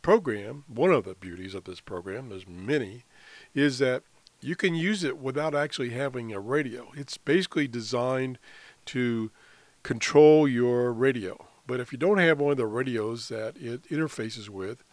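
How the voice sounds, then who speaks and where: A man explains software in an unscripted screencast tutorial, halting often in mid-sentence as he goes. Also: medium pitch, no room sound. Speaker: amateur radio hobbyist presenting a tutorial.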